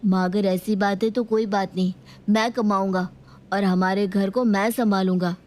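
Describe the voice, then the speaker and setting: A woman's voice: mid-range voice, fairly even intonation, moderate pace, a little mellow, lightly acted. A woman voicing an earnest, reassuring young son in a cartoon.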